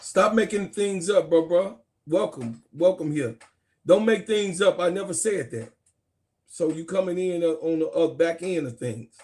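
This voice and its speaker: Middle-aged man, sports host, argumentative and profane, husky voice pitched high. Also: animated, a little slow, darkish tone. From Chicago, USA. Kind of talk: heated casual sports rant.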